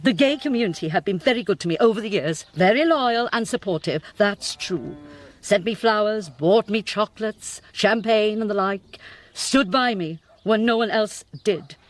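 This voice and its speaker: An older actress playing a proud, cutting, faded singer in a radio play, with grand theatrical delivery. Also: female voice, medium pitch, moderate pace, close to an everyday voice. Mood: grandly resigned.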